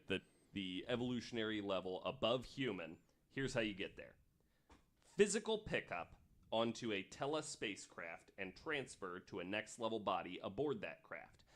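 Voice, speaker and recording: A man with a fairly low voice hosts a podcast. He tells researched stories in a casual way, explaining as he goes and pausing between passages he reads from notes. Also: very animated, fairly bright tone. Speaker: podcast host.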